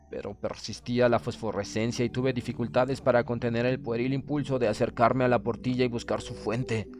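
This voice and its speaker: A man reads a horror story aloud as a first-person account. His voice sits at a medium pitch, and his delivery is measured, solemn and literary, in the manner of a dramatic audiobook. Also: darkish tone. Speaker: audiobook narrator.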